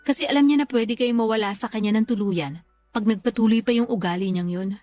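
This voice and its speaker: A woman's voice: a little high, fast talker, darkish tone, no echo, close to an everyday voice. A Filipino woman acting in a radio drama as a level-headed, supportive friend who reassures and gives advice. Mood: gently advising. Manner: reassuring, advising. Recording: background music under the voice.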